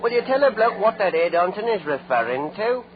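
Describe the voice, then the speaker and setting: An actor playing a nosy, cheeky working-class London bloke in a radio play. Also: male voice, high-pitched, very animated, a little fast. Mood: curious. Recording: muffled old radio, crowd behind.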